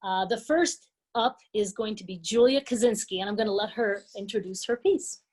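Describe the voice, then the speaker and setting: A woman's voice: mid-range voice, normal speed, a little mellow, breathy edge. A woman giving an informal, proud and appreciative live announcement as presenter of a student program. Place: live before an audience at a student performance.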